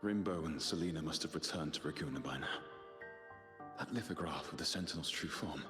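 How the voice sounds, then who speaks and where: Casting: brooding game investigator, deep male voice, pensive monologue drenched in long reverb. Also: brisk. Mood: pensive, troubled. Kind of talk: video game voice acting, inner monologue. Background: music.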